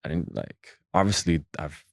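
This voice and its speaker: A young man with a low, deep voice talks casually as a podcast guest, swinging into lively acted-out voices when he retells what people said to him. The sound is close and dry, with no room around it. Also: moderate pace, vocal fry, no room sound. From Switzerland. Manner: animated storytelling, acts out voices of others. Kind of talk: casual chat.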